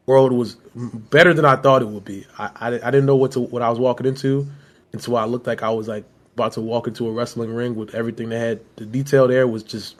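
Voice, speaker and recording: A man from Philadelphia co-hosting a podcast, his voice a little hoarse from being sick, rambling with repeated words and "you know what I'm saying.". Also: mid-range voice. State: hoarse from illness. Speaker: podcast co-host. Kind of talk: casual chat.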